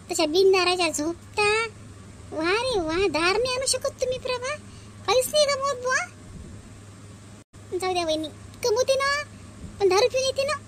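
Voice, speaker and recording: A woman's voice: very high-pitched, fairly bright tone, slight background noise. A woman voicing a village sister-in-law in a family cartoon, with a rural Vidarbha Marathi accent and an emphatic, plaintive, repetitive manner. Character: two village sisters-in-law, aggrieved young wife and protective visitor. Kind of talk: melodramatic cartoon family dialogue.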